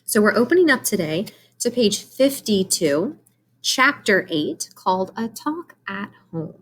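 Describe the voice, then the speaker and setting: A woman doing an expressive read-aloud for children, speaking directly to her young listeners in an animated way. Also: medium pitch, unhurried.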